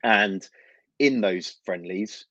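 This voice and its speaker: A young London man with a London accent, a football journalist explaining things in a measured way with fillers and soft laughs, speaking as a guest over a video call. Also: on the low side, no echo, lo-fi sound. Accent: London English. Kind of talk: casual interview chat.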